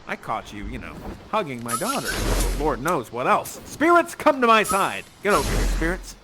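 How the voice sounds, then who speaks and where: A man's voice: mid-range voice, sing-song, normal speed, over music, voice pushed down, lightly acted. A man playing a stern, scheming father and magician in imperious Shakespearean stage declamation. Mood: imperious, accusing. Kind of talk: improvised Shakespearean stage acting.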